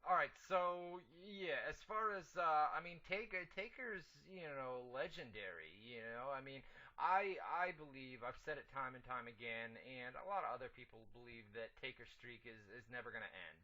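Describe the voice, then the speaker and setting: A man hosting a live call-in radio show, chatting casually in an excitable, joking way with a New York accent. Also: medium pitch, moderate pace, dull audio.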